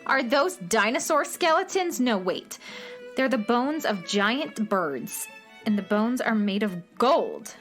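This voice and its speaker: A woman's voice: on the high side, big pitch swings, slow pace, a bit bright, soft background music, exaggerated voice. An actress playing a vain, bossy female hatchling in a children's radio comedy, boastful and dramatic. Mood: haughty curiosity. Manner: boastful, dramatic, critical of others' mistakes. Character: vain, bossy female hatchling seeking her grand origins.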